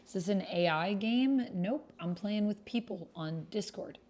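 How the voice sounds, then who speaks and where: A woman's voice: medium pitch, a little slow, a little mellow. A woman hosting a livestreamed text roleplay game, chatting directly with viewers and reading posts aloud.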